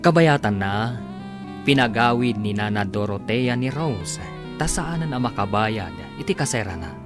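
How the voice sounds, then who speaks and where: Male serial narrator: theatrical announcer read, very animated, over prominent guitar music. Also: lowish voice, normal speed. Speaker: radio drama narrator and announcer. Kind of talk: dramatic scripted narration.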